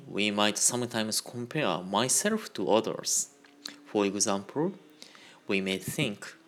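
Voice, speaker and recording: A man giving a Buddhist Dharma talk on a radio program, in a slow, measured and careful delivery. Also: on the low side, a bit bright. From Japan. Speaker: Buddhist minister.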